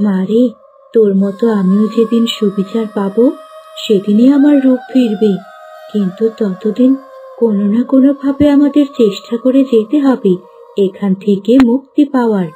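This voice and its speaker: Woman as a mellow, high-voiced mother bird. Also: normal speed, lo-fi sound, faint music behind, natural voice. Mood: sorrowful, determined. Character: wronged mother turned into a bird, protective, determined. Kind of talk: cartoon fairy-tale voice acting.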